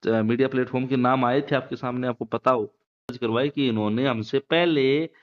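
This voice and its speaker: Male news interviewer, smooth tone, roundabout and hesitant questioning, lo-fi call audio. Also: medium pitch, steady tone, normal speed. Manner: hesitant, roundabout phrasing with repeated filler phrases. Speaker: interviewer, local news reporter. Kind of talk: phone interview, asking questions. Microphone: phone call. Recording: muffled, lo-fi phone call recording.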